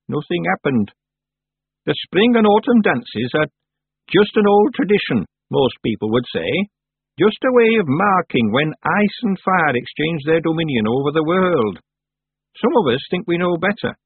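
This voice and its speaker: Guy putting on a crabby old witch lady, husky, raised pitch, tinny phone-ish sound. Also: no room sound, heavily acted. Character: stern old witch woman, dry and sharp.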